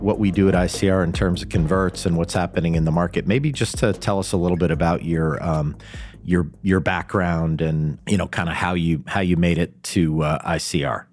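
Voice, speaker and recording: A man with an extremely low voice hosts a business podcast. He reads a polished opening, then asks loose, halting questions. Music plays clearly beneath him. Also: darkish tone. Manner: halting and filler-heavy when asking questions. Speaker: podcast host. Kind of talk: scripted intro then interview questions. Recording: music bed under the voice.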